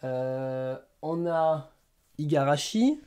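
A man's voice: on the high side, expressive, very slow, darkish tone, clean tone. A man hosting a casual live stream, hesitantly thinking aloud as he types. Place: small indoor room lined with bookshelves.

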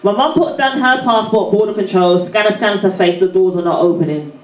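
A woman's voice: medium pitch, brisk. Punchy British comedian woman, roomy club. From Barbados. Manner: punchy, teasing the crowd. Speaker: stand-up comedian. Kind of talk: stand-up set. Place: small dark comedy club stage. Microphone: handheld mic. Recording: roomy club sound, far and dull. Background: loud crowd laughter.

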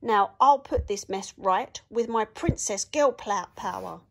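A woman voicing an indignant, feisty young princess in a picture book, loud and emphatic in her outrage. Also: mid-range voice, unhurried, gravelly. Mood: defiant.

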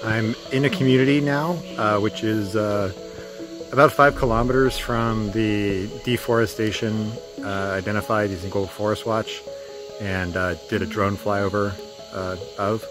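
Middle-aged man, lowish voice, halting outdoor field report on a phone, music bed underneath. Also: fairly even intonation. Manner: halting, many fillers, matter-of-fact. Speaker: conservation journalist. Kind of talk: on-location field report to camera. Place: outdoors in flooded rainforest. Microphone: handheld phone selfie framing.

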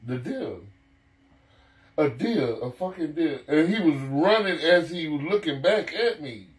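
A man's voice: fairly high pitch, animated, measured pace. A man in his fifties telling a story casually and animatedly, swearing freely, repeating himself and acting out his reactions. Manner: profane, repetitive, acts out reactions. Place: living room couch, indoors. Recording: roomy, distant from the mic.

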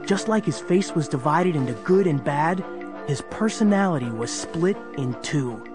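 High-pitched male dramatic story narrator. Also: lively intonation, moderate pace. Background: music.

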